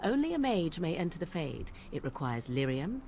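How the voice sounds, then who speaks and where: An actress voicing an aloof witch in a fantasy game, speaking in measured, archaic phrasing. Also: deep voice, sing-song, warm tone, soft background music. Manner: cool, measured, archaic diction. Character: aloof, wry witch woman, archaic speech.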